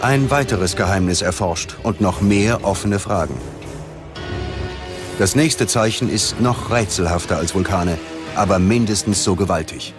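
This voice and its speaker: A man narrates a documentary in a fairly low voice. His delivery is scripted, measured and dramatic. Clear background music plays beneath him.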